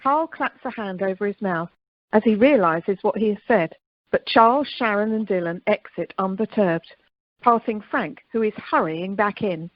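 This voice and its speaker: A woman's voice: medium pitch, moderate pace, no echo. A woman reading scripted stage directions as narrator of a radio play. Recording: dull, dry telephone conference line.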